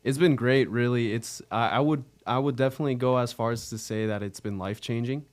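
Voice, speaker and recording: A young American man, about nineteen, speaks in a smooth, clean, medium-pitched voice. He answers as a guest in a casual chat, earnest and often rephrasing himself as he goes. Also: fairly quick. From Arizona, United States. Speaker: interview guest, realtor.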